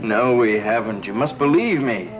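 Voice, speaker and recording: An actor playing a placating, evasive family cousin in a gothic soap opera, with a coaxing delivery. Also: male voice, medium pitch, expressive, normal speed, phone-quality sound, noisy background, natural voice. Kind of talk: 1960s-70s gothic soap opera acting. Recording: old TV soundtrack, loud music behind.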